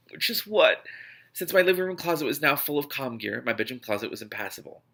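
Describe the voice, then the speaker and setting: A man's voice: mid-range voice, expressive, normal speed, pushed and bright. A man reading a novel aloud expressively, often stumbling and breaking off to react. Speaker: book reader, reaction host.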